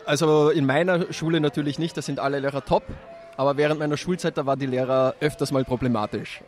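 A man answers questions at an outdoor street festival, sounding candid and a little self-mocking. His voice sits fairly high for a man. Faint music plays in the background. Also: fairly even intonation, quick pace, darkish tone. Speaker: teacher interviewed at a street festival. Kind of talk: relaxed interview answers.

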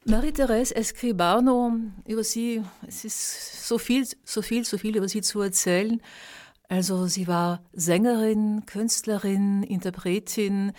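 A woman's voice: mid-range voice, steady tone, unhurried, darkish tone. A woman radio host presenting a tribute, speaking earnestly and stringing together lists of descriptions, often restarting her phrases.

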